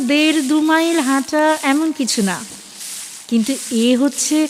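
Fairly high-voiced woman narrating a story. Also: a little hiss or hum. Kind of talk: scripted dramatic story narration. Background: background music.

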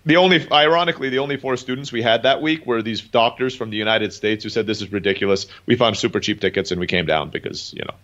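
Man, rapid chatty storyteller, lowish voice, dull muffled recording. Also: a bit bright. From Turkey. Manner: rapid, chatty storytelling with frequent "um" and "you know". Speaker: guest entrepreneur. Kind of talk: casual interview talk. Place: home in Mexico. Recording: dull lo-fi call audio.

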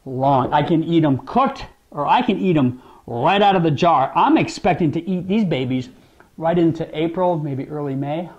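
Upbeat man in his forties, animated. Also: fairly high pitch, highs cut off. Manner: animated, talks with his hands, enthusiastic. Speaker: gardening vlogger. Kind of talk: casual talk to camera. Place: dining room at home, indoors.

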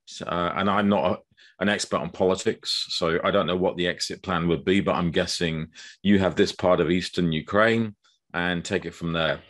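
A man in his sixties with an English accent, a guest author explaining things in a measured way with frequent ums over a video call. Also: low-pitched, fairly even intonation, a bit bright. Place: dim room indoors.

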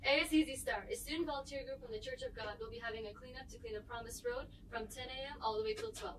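A teenage girl reads school announcements briskly at a slightly high pitch and a quick pace. She is off mic, with clear room noise behind her. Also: recorded from a distance, rough recording. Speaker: student announcer. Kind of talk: reading announcements.

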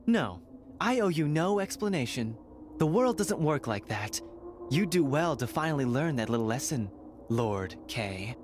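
An actor playing an arrogant, mocking noble heir in a video game, with theatrical, smug sarcasm. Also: male voice, mid-range voice, big pitch swings, a little slow, a little hiss or hum. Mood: haughty.

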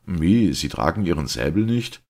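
A man voicing a calm investigator who gives curt, smooth orders. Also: sits low, normal speed, pitched above the performer's own voice, exaggerated voice. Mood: cool.

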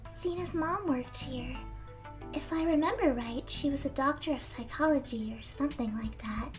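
Woman as gentle teenage girl, high soft voice, hesitant, wistful, earnest, video game fan dub, music behind. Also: moderate pace, close to an everyday voice.

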